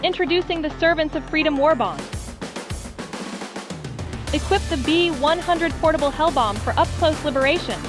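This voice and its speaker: A woman playing a proud, zealous wartime announcer delivering a polished, emphatic radio sales pitch. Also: moderate pace, pauses often, close to an everyday voice. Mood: proud, promotional. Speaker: advertisement announcer. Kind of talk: promotional propaganda radio ad. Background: music bed.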